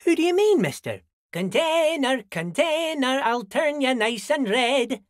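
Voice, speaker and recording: A man's voice: very high-pitched, lively intonation, unhurried, no echo, clean studio sound. An actor playing a friendly, simple-minded old dockworker in a video game, folksy and rambling, repeating himself as he chats. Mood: friendly, chatty. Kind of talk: video game character dialogue.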